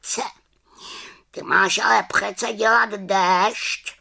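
Woman putting on a high-pitched, nagging talking raven. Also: steady tone, gravelly, pushed and bright, husky, heavily acted. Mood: reproachful. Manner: scolding, exclaiming, squawking delivery. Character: reproachful, preachy talking male raven, wise and nagging. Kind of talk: children's storybook character voice.

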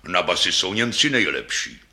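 A man reading aloud plays an ancient, noble double bass who speaks deep and low. He is slow, a little hesitant and weary with age, yet courtly. The voice is bright in tone and moves widely in pitch. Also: sing-song, full character voice. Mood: reminiscing. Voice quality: deep, sighing.

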